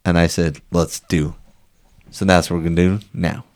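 Low-voiced joking male co-host. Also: little pitch movement, moderate pace, darkish tone. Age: adult, married. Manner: joking, tells stories with quoted voices. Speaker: podcast co-host. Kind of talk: casual chat.

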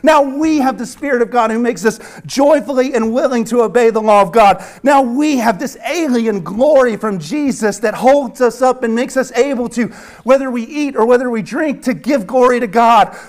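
A man from the American South preaching a sermon with a Southern accent, earnest and emphatic, repeating phrases and dropping at times to a hush. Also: very high voice, normal speed. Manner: swings from hushed near-whisper to loud emphatic preaching. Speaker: pastor.

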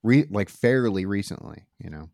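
A man in casual podcast conversation, irreverent and quick to swear. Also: lowish voice, expressive, vocal fry, dark tone, dry recording, studio quality. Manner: irreverent, profane. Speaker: podcast panelist. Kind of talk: casual chat.

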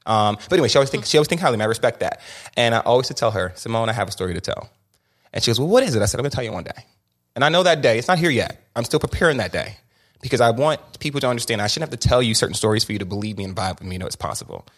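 A young man hosts a candid sit-down conversation, speaking very quickly. He pushes his points hard, stumbling into restarts and doubled words as his thoughts race ahead. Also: mid-range voice, lively intonation, fairly bright tone. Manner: rapid, emphatic, with many restarts and repeated words. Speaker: podcast host.

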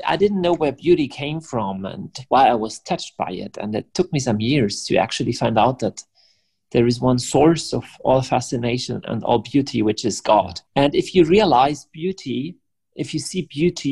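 A man's voice: medium pitch, expressive, moderate pace, vocal fry, a little mellow, husky. German-accented man in his forties. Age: 40s. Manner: reflective, with stammered repeats when starting a thought. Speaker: guest, prayer house leader. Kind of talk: podcast interview.

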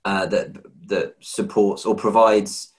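Lower-voiced male podcast host, hesitant. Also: steady tone, takes it slowly. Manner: hesitant, repeats words while framing questions. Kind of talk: conversational interview.

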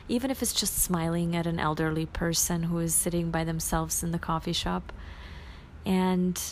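A woman whose first language is Bosnian, hosting a casual solo podcast and talking in a rambling, self-reflective way that drops into soft near-whispers. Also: fairly low pitch, a little hiss or hum. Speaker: podcast host.

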